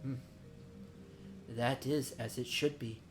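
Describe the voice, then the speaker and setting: A man voicing a calm, wise guildmaster and mentor of nature magic in a fantasy game, formal and measured. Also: medium pitch, soft background music, close to an everyday voice. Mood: solemn, reassuring. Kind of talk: reading fantasy game dialogue aloud.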